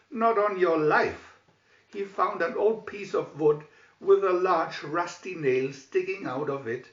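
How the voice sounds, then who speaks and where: A man reading a scripted children's story in a deliberate manner, announcing pictures as a narrator. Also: a little high, a bit bright. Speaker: storybook reader. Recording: muffled, echoey room recording.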